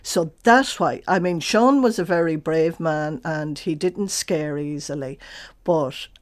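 A woman speaking as an interview guest in a serious, measured and emphatic way. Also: low voice. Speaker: theologian and author, interview guest. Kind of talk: serious, deliberate interview talk.

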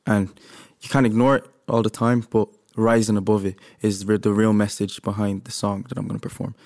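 Laid-back low-voiced male musician. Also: level tone, fairly quick, darkish tone. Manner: laid-back, casual slang. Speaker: musician guest.

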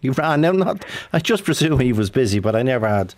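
A man's voice: medium pitch, big pitch swings, fairly quick, darkish tone, no echo. A man in his sixties with a Dublin Irish accent, hosting a live phone-in radio show in a chatty way, with many fillers and restarts. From Dublin, Ireland. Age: 60s. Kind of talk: live call-in radio chat. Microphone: studio mic.

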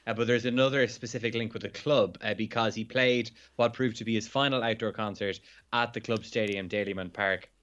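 Croaky Irish man on a phone line. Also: on the low side, steady tone, fairly quick, a bit bright. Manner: chatty, laughing, with self-interrupting restarts. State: slightly hungover and croaky. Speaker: sports journalist guest. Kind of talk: radio phone-in chat.

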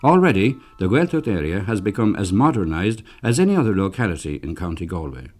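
A man narrates a documentary from a script, measured and formal. His voice is lowish but very animated in pitch, with faint music behind him.

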